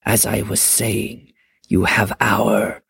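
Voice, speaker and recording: Casting: a woman doing a colossal elder dragon father, deep, raspy and breathy, slow and measured, stern authority, dry close sound. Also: no echo, full character voice. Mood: solemn. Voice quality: deep gravelly whisper. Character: huge ancient male dragon parent, stern. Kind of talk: audiobook character voice.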